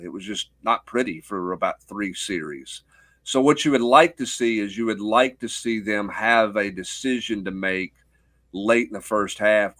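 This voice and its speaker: Middle-aged male sports analyst, lowish voice, explanatory and off the cuff, dry studio-tight sound. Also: a bit bright, dry recording. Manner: explanatory, punctuated with rhetorical questions and check-in tags. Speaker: sports journalist guest.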